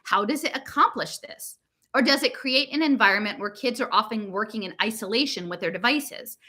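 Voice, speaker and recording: Bright, high-voiced American woman, exasperated and emphatic. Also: brisk. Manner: exasperated, emphatic, rambling with rhetorical questions. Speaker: podcast host reading her blog aloud with commentary. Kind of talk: informal impassioned monologue to an online audience.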